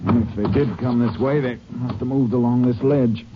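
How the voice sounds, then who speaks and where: An actor playing a calm, shrewd Texas Ranger who tracks outlaws and narrates, in a 1950s radio drama. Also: male voice, mid-range voice, moderate pace, noisy background, close to an everyday voice. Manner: calm, clipped, matter-of-fact. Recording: dull old radio broadcast recording.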